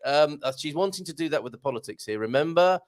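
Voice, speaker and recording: A man's voice: on the high side, expressive, fast. A man hosting a live chat show, joking around, laughing often and reading out viewer comments. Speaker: host.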